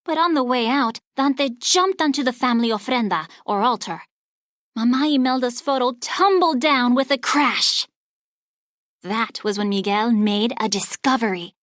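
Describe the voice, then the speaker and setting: A woman narrating a children's storybook aloud in an expressive, scripted read-along style. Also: on the high side, moderate pace, dry recording, studio quality.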